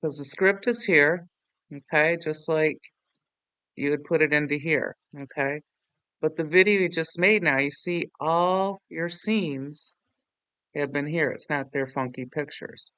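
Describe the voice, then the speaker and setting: A man with a fairly high, clear voice walks through software as a tutorial host. He talks casually and rambles, often poking fun at himself. The sound is dry, with no room on it. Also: unhurried, no room sound. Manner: rambling, chatty, self-deprecating.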